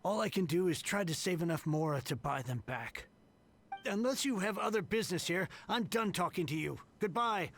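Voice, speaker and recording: A gravelly, grouchy old book collector. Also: male voice, high-pitched, lively intonation, moderate pace, fairly bright tone, faint music behind. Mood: lamenting. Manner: gruff, dramatic, self-pitying. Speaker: game character.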